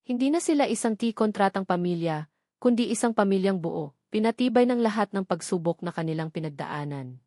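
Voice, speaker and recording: A woman reading scripted audio drama narration in a measured, earnest storytelling manner. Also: mid-range voice, expressive, warm tone, no room sound, clean studio sound.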